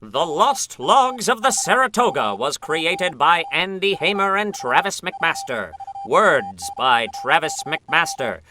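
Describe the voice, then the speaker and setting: A man's voice: fairly high pitch, sing-song, slow, few pauses, bright, forceful tone, slight background noise, exaggerated voice. A man playing a dramatic 1950s radio announcer, theatrical and showy. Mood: grand, upbeat. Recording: music playing behind the voice.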